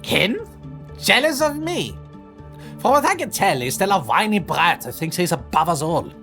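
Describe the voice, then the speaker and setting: A man voicing a brooding, protective male vampire lord in an audio drama, smooth and lilting, sinking into low menacing murmurs. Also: big pitch swings, moderate pace, full character voice. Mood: scornful. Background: soft background music.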